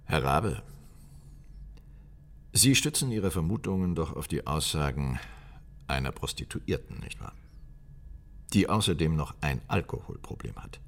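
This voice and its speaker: A man's voice: rumbling low, big pitch swings, a little slow, gravelly, pauses often, full character voice. A man playing a commanding, evasive state premier in a German radio drama, speaking formally with pressing authority. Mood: dismissive.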